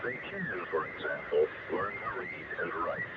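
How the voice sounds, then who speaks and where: A man reading scripted instructional narration in a formal, measured way, heard over CB radio. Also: low-pitched, wildly swooping pitch, recorded from a distance. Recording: muffled, very noisy CB radio transmission.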